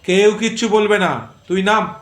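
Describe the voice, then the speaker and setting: A man's voice: sits high, normal speed, a bit bright. A man playing an easygoing young man who guides his friend casually, in an audio drama with a roomy sound. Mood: playfully directing. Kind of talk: Bengali audio story acting.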